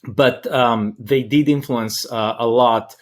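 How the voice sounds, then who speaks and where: A man from Greece with a Greek accent answers interview questions as a professor, thoughtful and halting as he searches for words. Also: mid-range voice, steady tone. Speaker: interview guest, political science professor.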